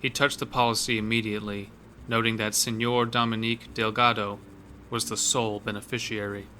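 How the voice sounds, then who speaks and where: A man reads a scripted horror tale in a fairly low voice with a crisp, forceful edge. His delivery is dramatic and measured. A faint layer of noise sits behind the narration. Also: level tone.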